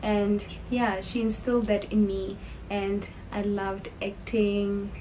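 A woman's voice: medium pitch, level tone, takes it slowly, warm tone, a little hiss or hum, low-quality recording. A young woman in her twenties with a South African accent, whose first language is Sepedi, chatting casually to camera in a get-to-know-me video from her bedroom. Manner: chatty, gushing, with frequent ums and laughs. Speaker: YouTuber. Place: bedroom, indoors. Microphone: camera in front, seated facing it.

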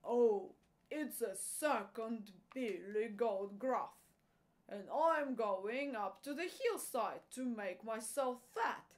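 A woman's voice: on the high side, lively intonation, slow pace. A woman voicing a middle-sized billy goat in a storybook tale, nervous and pleading. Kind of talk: storybook character voice.

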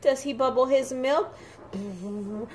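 A woman reading a picture book aloud to young children in an expressive, sing-song, emphatic way. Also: high-pitched, slow pace, a little hiss or hum. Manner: animated, emphatic, sing-song with repeated words for small children.

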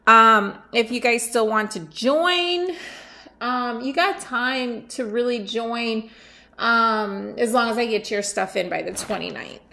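Slow-talking woman with a gravelly voice. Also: a little high. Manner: rambling, thinks out loud with many ums. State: losing her voice, getting sick. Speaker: nail art swap host. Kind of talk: casual unboxing chat. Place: sunny room at home by a window.

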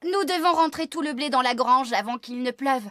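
A woman in a French children's cartoon dub playing a brisk, caring woman farmer who expressively directs others. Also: high-pitched, sharp tone, dry recording, clean studio sound. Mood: brisk, concerned.

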